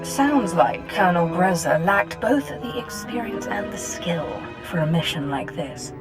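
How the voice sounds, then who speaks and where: A woman playing a cold, authoritative dark lord in a video game, with a cutting, aloof manner. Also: on the low side, animated, a little slow. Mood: cool, wary. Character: cold, imperious female dark council lord. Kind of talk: scripted video game acting. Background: music.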